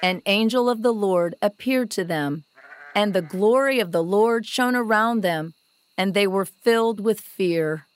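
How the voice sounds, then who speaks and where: A woman narrating a scripted Bible story in a measured, reverent storytelling delivery. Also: medium pitch, clear, resonant tone, no room sound.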